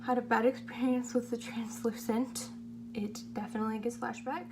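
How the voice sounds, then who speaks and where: A young woman with a fairly high, slightly hoarse voice talks casually through a makeup routine. She rambles and puts herself down as she goes. It is an amateur home recording with a clear hum and noise behind her. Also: level tone, moderate pace. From California, United States. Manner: self-deprecating, rambling, trailing into mutters. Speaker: beauty vlogger. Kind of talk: casual makeup tutorial chat.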